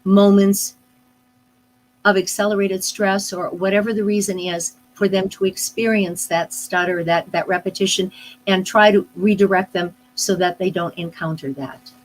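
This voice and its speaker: A middle-aged woman, a speech pathologist, answering interview questions in a thoughtful, unhurried way, with many pauses and restarts. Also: medium pitch, a little hiss or hum, rough recording. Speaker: speech-language pathologist guest. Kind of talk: live online interview, explaining casually.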